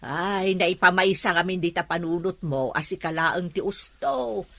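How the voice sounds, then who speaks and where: An actress playing a caring, outspoken middle-aged mother who is quick to scold, in radio drama style with a Filipino Ilocano accent. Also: fairly low pitch, vocal fry, fairly bright tone, no room sound.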